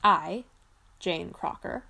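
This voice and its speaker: A woman's voice: on the low side, slow pace, creaky, pushed and bright, pitched below the performer's own voice, exaggerated voice. A woman voicing a formal female politician taking an oath as president, ceremonial and declamatory, in an audio drama. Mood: solemn. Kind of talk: voiced web-fiction audio drama.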